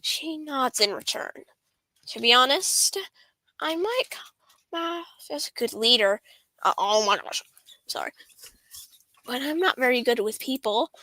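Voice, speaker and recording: A woman game streamer reading a visual novel aloud, halting and giggly, with casual asides. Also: high voice, animated, bright, forceful tone, dry recording.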